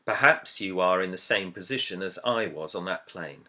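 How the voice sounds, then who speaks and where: Low, bright-voiced man reading aloud. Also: moderate pace. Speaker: author reading own book introduction. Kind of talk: scripted audiobook-style narration.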